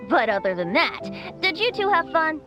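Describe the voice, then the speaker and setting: Boyish game bard: playful, theatrical, very lively intonation, light and teasing. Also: female voice, sits high, measured pace, lo-fi sound, loud music behind the voice. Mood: gentle, cheerful. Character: playful, carefree boyish male bard, secretly a god. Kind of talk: video game voice acting.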